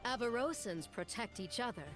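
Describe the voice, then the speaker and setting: A woman's voice: a little high, expressive, moderate pace, a bit bright, faint music behind. An actress playing a noble young warrior queen, resolute and compassionate, in dramatic fantasy game lines.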